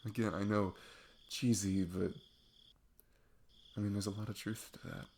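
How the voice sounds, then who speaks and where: A man playing a sweet, nervous best friend in an intimate ASMR roleplay, halting and self-deprecating as he opens his heart. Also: on the low side, steady tone, gravelly, a little mellow, slight background noise. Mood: vulnerable, self-deprecating.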